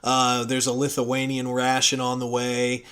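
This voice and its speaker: An American man talking casually and unscripted as a channel host, in a rambling, conversational way with hesitant pauses. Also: medium pitch, completely flat delivery, fairly bright tone, clean tone. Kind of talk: casual unscripted audio log.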